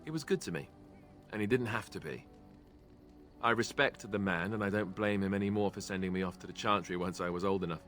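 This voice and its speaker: Young male game warrior, low voice, wry, self-deprecating, sarcastic, music underneath. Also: a little fast, fairly bright tone. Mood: reflective. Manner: sarcastic, joking deflection. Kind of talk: video game character dialogue.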